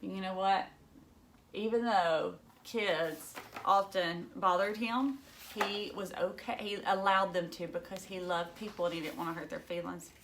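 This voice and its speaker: A woman teacher reading a children's storybook aloud, expressive and dramatic, as if to young listeners. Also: lowish voice, unhurried, a little hiss or hum.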